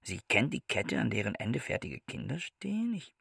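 A stiff, self-absorbed scholar for a radio drama. His voice is husky and heavily creaky, swinging widely in pitch as he broods pedantically. The sound is close and dry. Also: sing-song, normal speed, fairly bright tone, dry recording, exaggerated voice. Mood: brooding, indignant. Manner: pedantic, brooding inner monologue. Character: stiff, bookish bridegroom, anxious and self-absorbed. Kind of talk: German radio play acting.

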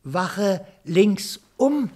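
A woman playing a pompous general in a radio play, giving drawn-out military drill commands. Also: medium pitch, animated, a little mellow. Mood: commanding.

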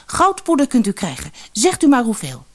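Storybook boy, naive and eager, earnest, pitched up, played by a female narrator. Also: wildly swooping pitch, normal speed, darkish tone, heavily acted. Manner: earnest, a little bashful. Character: naive, kind-hearted, eager boy in a fairy tale.

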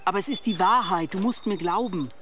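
A man's voice: high-pitched, brisk, sharp tone, faint music behind. A man playing an anxious, guilt-ridden young monk in a German radio play, hesitant and pleading. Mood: cornered, stammering.

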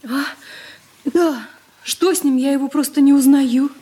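A woman's voice: sits high, unhurried, dark tone. A woman playing a timid, dreamy, easily wounded young woman in a radio drama, hesitant and fragile. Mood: anxious. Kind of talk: Soviet radio play acting.

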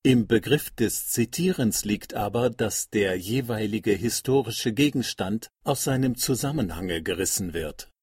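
A man giving a scripted literary reading of quotations in a measured, declarative manner. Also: fairly low pitch, darkish tone, breathy edge. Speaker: reader of quotations. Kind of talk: literary reading of quoted texts.

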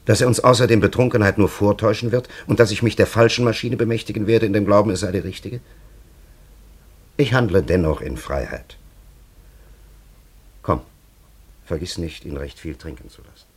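A man's voice: on the low side, moderate pace, pauses often, slightly rough. An actor playing an ancient, cunning and patronising time traveller in a radio play, telling his story in a solemn, elaborate, theatrical way. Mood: solemn, sly.

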